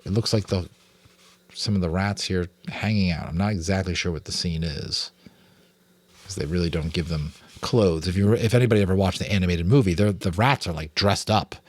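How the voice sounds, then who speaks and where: A man's voice: sits low, no room sound. A man with an American accent narrating a children's novel dramatically, with casual asides. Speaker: audiobook narrator, amateur voice actor.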